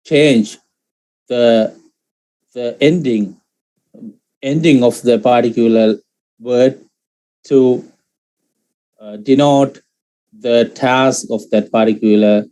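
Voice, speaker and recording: A man whose first language is Sinhalese, teaching Pali grammar in an online lecture, speaking in halting phrases with many fillers and restarts. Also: mid-range voice, steady tone, mellow, low-quality recording. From Sri Lanka.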